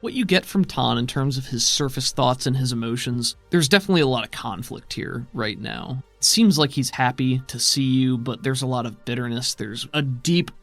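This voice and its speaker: A man narrating a tabletop role-playing game as game master in a measured, explanatory way. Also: mid-range voice, vocal fry, a bit bright.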